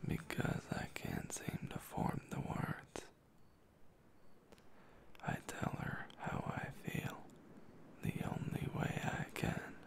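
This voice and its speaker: A man reading a romantic story aloud in a slow, intimate ASMR whisper. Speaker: ASMR creator.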